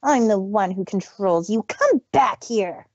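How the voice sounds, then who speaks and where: A woman's voice: mid-range voice, expressive, a little slow, a little mellow, dry recording, muffled recording. Woman playing a bitter, domineering villain mare. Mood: desperate, angry.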